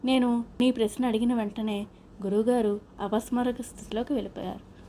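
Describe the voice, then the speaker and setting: Woman as earnest simple guy in a kids story, soft mellow voice, respectful, slight noise. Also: a little high, fairly even intonation, fairly quick, close to an everyday voice. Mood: dejected. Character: earnest male parrot owner, simple and dejected. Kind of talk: children's storybook character voice.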